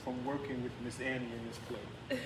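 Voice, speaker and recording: A man's voice: mid-range voice, little pitch movement, moderate pace, distant mic. A middle-aged American man hosting a casual group interview in a playful manner. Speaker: interview host. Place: indoor room, group seated before banner. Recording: roomy sound with clear background noise.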